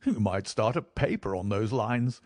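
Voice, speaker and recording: A man voicing a genial, wry gentleman with a teasing, knowing manner in audiobook dialogue. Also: fairly low pitch, lively intonation, normal speed, no echo, clean studio sound. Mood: jovial, teasing.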